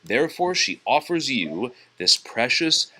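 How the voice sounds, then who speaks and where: A man's voice: mid-range voice, sing-song, a little slow, sharp tone, full character voice, rough recording. A man voicing a formal young messenger in a children's storybook, with stately phrasing. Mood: stately, persuasive. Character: formal young male messenger making a grand offer.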